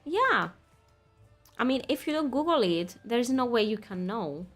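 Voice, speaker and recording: A woman's voice: a little high, very animated, a little slow, fairly bright tone, soft background music. A young woman streaming a game, chatting casually with her viewers, laughing easily and reading quest text aloud. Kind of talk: casual gaming commentary.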